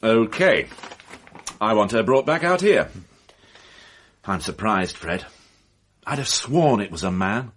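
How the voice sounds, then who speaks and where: A man's voice: fairly low pitch, animated, slow pace, long pauses, fairly bright tone, full character voice. An actor playing a dry, authoritative male police inspector in a radio drama, questioning in a clipped, probing way.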